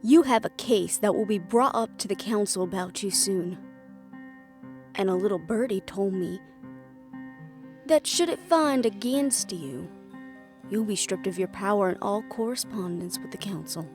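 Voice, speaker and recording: A woman's voice: mid-range voice, unhurried, darkish tone, faint music behind, lightly acted. A woman playing a defiant, fiercely independent half-fae daughter, blunt and sarcastic, in a fantasy audio drama. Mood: smug bargaining. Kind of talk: fantasy audio roleplay acting.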